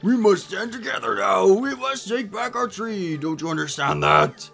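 Woman putting on a cranky, creaky old elder creature. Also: mid-range voice, moderate pace, a bit bright, faint music behind. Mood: stern, scolding. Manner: scolding, domineering.

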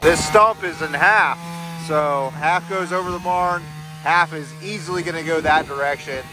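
Vlogger, man, 30s; easygoing, filler-heavy outdoor narration on a phone mic with clear background noise. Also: a little high, moderate pace. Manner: relaxed, filler-heavy explaining. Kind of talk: casual on-camera narration. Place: outdoors on a rural property. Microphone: phone camera held at arm's length. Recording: outdoor noise, machinery nearby.

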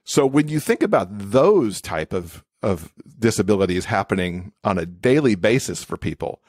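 A man's voice: on the low side, very animated, normal speed, darkish tone, no room sound, clean studio sound. A man speaking as an expert podcast guest, explaining things in a conversational interview style.

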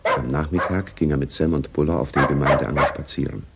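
A man's voice: rumbling low, fairly quick, creaky, heavy background noise. A man narrating a spy novel in a German radio drama, as a sober literary storyteller. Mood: sober, reflective.

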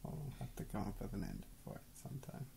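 A man's voice: on the low side, quick pace, vocal fry, warm tone, slight background noise. A man in his thirties chatting casually and playfully in a small bedroom, musing as he goes. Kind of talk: casual chat. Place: small bedroom, lying in bed.

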